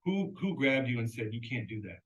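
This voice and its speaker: A man's voice: mid-range voice, very animated, a little fast, a bit bright. Guy interviewing someone, curious and chatty, stumbles on words, echoey. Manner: curious, conversational, with repeated words. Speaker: podcast host. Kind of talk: interview questioning.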